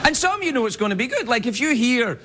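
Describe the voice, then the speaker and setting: A man's voice: extremely high pitch, big pitch swings, quick pace, crowd noise. A middle-aged Scottish man hosting a late-night show, delivering a wry, self-mocking comedy monologue to a studio audience. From Scotland. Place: television studio with live audience. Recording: loud audience noise behind.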